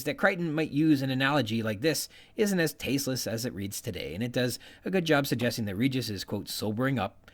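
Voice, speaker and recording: Animated man hosting a commentary podcast. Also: medium pitch. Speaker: podcast host. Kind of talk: scripted literary commentary.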